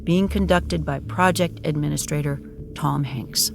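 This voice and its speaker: Creaky-voiced man narrating a drama. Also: on the high side, fairly even intonation, measured pace, music in the background.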